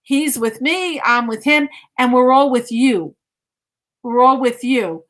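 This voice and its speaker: A woman's voice: high-pitched, slow, a bit bright. A woman giving a conversational live mediumship reading, insistently repeating phrases and relaying messages as quotes.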